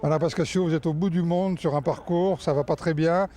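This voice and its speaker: A man's voice: fairly high pitch, fairly even intonation, brisk, warm tone. A man interviewer asking direct questions and setting up imagined scenes for his guests.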